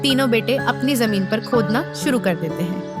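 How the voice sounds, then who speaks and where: High-pitched woman narrating a story. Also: animated, normal speed, over music.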